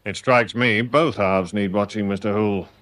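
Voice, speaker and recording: An actor playing a stern, sceptical police inspector with an English accent in a British radio drama, official and curt. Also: male voice, on the low side, fairly even intonation, normal speed, a bit bright, lightly acted. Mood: stern suspicion. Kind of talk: British radio comedy-mystery drama acting.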